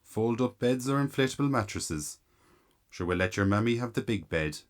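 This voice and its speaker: A man's voice: on the low side, lively intonation, normal speed, clean tone, no echo, clean studio sound, lightly acted. A man playing a courteous, kindly Irish police officer in a radio drama. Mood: kindly, reassuring.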